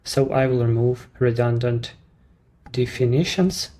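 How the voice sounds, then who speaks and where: A man speaking English with a Ukrainian accent, his voice sitting at an ordinary middle pitch. He explains each step of a screen-recorded software walkthrough in a measured, unhurried way, with the odd non-native turn of phrase. He is a native Ukrainian speaker presenting his own service.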